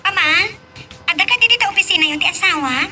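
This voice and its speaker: A woman with a Filipino accent playing a brisk young wife in an amateur audio drama, sounding distant over noise. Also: very high-pitched, expressive, pushed and bright, soft background music, exaggerated voice. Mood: inquiring.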